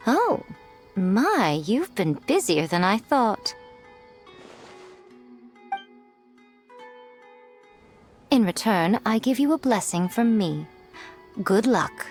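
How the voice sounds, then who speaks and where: A woman's voice: fairly high pitch, a little mellow. A woman voicing a sly, elegant, self-assured fox lady in a video game, teasing and condescending with poised phrasing. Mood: playful.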